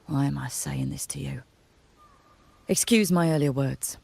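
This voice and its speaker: A woman's voice: extremely low pitch, measured pace, creaky, a little mellow, breathy edge, heavily acted. An actress playing a brusque, plain-spoken, wary but loyal woman trader in a video game, talking in a blunt, grumbling, informal way. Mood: grudging, concerned.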